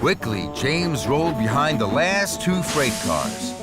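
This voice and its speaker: A man narrating a scripted children's story in an expressive storytelling manner. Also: mid-range voice, slow. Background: music.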